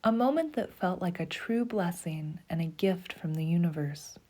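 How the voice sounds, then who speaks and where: Soft-spoken woman guiding a meditation. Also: on the low side. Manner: soft, breathy, half-whispered delivery; slow, soothing, even pacing. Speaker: meditation guide. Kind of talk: guided meditation.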